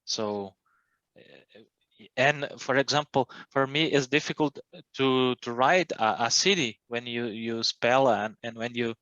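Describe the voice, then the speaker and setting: A man from Brazil with a Brazilian Portuguese accent, a student speaking haltingly in English with many fillers and restarts. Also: mid-range voice, fairly bright tone, dry recording, lo-fi sound. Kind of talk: class discussion.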